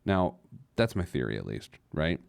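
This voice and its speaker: A man's voice: rumbling low, big pitch swings, moderate pace, creaky, dry recording. An American man in his late thirties hosting a casual radio show on his own, rambling along in a wry, conversational way. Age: late 30s. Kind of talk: casual radio monologue on personal finance.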